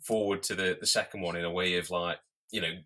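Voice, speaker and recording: A man's voice: low voice, quick pace. A man co-hosting a podcast, chatting casually and animatedly, throwing in silly voices. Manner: animated, puts on silly voices when quoting people. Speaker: podcast co-host. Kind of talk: casual chat.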